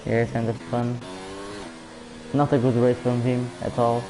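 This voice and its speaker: A man's voice: medium pitch, level tone, a little slow. Man, Portuguese accent, live sim racing commentary, chatty, non-native English, noisy stream with car sounds. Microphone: home streaming mic.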